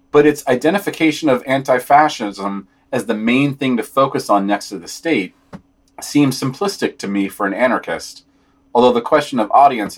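A man with a slightly husky voice reading written news summaries and quotes aloud on a podcast, with some commentary. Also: medium pitch.

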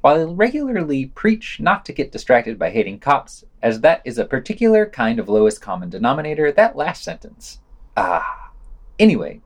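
A man's voice: medium pitch, sing-song, normal speed. A man reading a scripted podcast news digest and quotes, with wry, sardonic commentary.

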